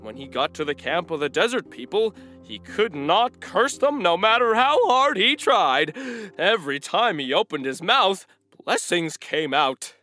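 A man's voice: high voice, a little slow, few pauses, faint music behind, full character voice. A man playing a chatty, earnest talking donkey who tells stories in a folksy way in a children's audio drama. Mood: animated storytelling. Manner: animated, folksy storytelling.

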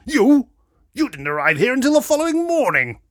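A man voicing a blustering, indignant army major with a British accent, harrumphing and loud, in a mystery story. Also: on the high side, very animated, a little fast, no echo, studio quality, voice pushed up. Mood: spluttering outrage. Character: blustering, indignant English army major, old-chap type.

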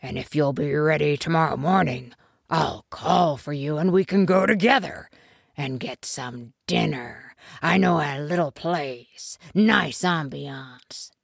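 A woman voicing a sly, menacing male wolf in a storybook reading, with a gravelly voice. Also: low voice, takes it slowly, dry recording, clean studio sound, pitched below the performer's own voice, heavily acted.

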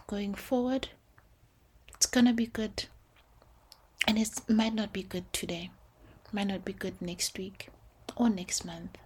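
A woman speaks in a hushed, gentle voice with a breathy, slightly husky grain. She hosts a reflective faith podcast, talking slowly and intimately as she moves between English and Sesotho phrases. Her pitch sits in a middle range for a woman. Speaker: podcast host.

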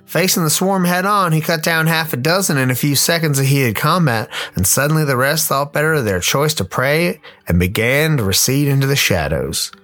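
A man's voice: a little high, animated, moderate pace, few pauses, a bit bright. A man narrating a comic radio play as its host, dramatic in delivery with a wry touch.

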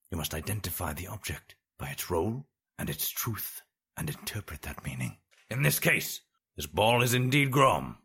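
Audiobook role: learned old wizard teacher, man performing, raspy, measured, emphatic, widely varied intonation. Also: fairly low pitch, a bit bright, pitched above the performer's own voice, exaggerated voice. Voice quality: gravelly, raspy. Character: stern learned old sorcerer teaching his pupils.

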